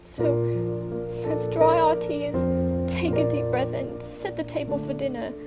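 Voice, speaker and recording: A young woman acting a grieving mother on a school stage, speaking softly and tenderly. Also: high-pitched, fairly even intonation, a little slow. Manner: soft, tender, restrained. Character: grieving mother, gentle and steady. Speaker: stage actor. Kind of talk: high school stage play. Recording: loud guitar music behind.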